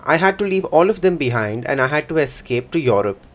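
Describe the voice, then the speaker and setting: A man voicing a first-person interpretation for a signing speaker in a plain, measured way. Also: fairly high pitch, animated.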